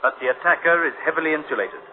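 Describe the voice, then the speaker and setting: A man's voice: on the high side, racing, heavy background noise, lightly acted. An actor playing a solemn male narrator of a science-fiction radio tale, clipped and ominous in delivery. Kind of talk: dramatic scripted radio narration. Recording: tinny radio recording, loud dramatic music.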